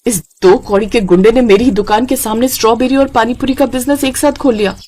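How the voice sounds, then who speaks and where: A woman's voice: a little high, fast talker, slightly rough. A woman voicing a jealous, scheming young woman who runs a street juice cart in a children's cartoon story, boastful and grumbling by turns. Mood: worried. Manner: loud, boastful vendor calls and sulky grumbling.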